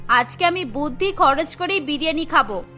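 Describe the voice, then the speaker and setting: A woman's voice: high voice, animated, moderate pace, bright, forceful tone, faint music behind, voice pushed down. A woman voicing a cheeky, scheming schoolgirl in a cartoon, with a brazen, wheedling manner. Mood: eager, cocky. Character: cheeky, scheming schoolgirl crazy about biryani. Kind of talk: cartoon story acting.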